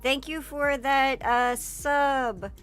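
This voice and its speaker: A hoarse woman streamer in a casual livestream chat, rambling with lots of fillers and swearing. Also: high voice, steady tone, drawn-out words, fairly bright tone. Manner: rambling, filler-heavy and profane, breaks into laughter. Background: faint background music.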